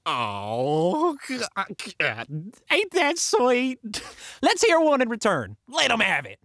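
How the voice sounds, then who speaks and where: A woman playing a cheeky, smooth-talking trickster in a western audio drama, theatrical and teasing. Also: on the high side, wildly swooping pitch, slow pace, a bit bright, no room sound, full character voice.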